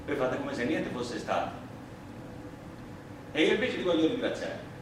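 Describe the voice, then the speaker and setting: An actor playing a plain-spoken, indignant immigrant worker on trial, in simple, emphatic theatrical oratory. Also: male voice, mid-range voice, big pitch swings, lightly acted. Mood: humble, grave, then earnest, solemn. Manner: simple, emphatic, deliberate working-class oratory. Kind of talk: theatrical courtroom monologue. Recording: echoey, distant stage recording, clear noise.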